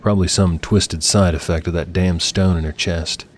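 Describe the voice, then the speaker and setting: A man's voice: deep voice, steady tone, normal speed, a little hiss or hum. A man reading scripted dramatic fiction as an audiobook narrator.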